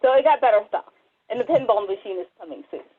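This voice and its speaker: A woman's voice: on the high side, animated, quick pace, breathy edge. A woman co-hosting a podcast with casual, wry, joking banter. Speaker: podcast co-host. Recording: muffled, band-limited lo-fi audio.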